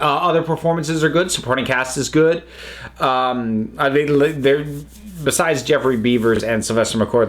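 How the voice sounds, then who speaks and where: A man hosting his own review show, talking things through in an unscripted, meandering way. He stops and restarts often, filling gaps with ums and uhs. His voice sits in an ordinary middle pitch. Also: a bit bright. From United States. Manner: rambling, hesitant, many fillers and restarts. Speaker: review channel host. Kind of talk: casual spoken review.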